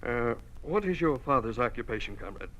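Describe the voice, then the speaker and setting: On a dull, lo-fi old radio recording, a coolly officious bureaucrat played by a man questions formally. His mid-range voice is measured and deliberate. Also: medium pitch, expressive, fairly bright tone, lightly acted. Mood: coolly questioning. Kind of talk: old-time radio drama acting.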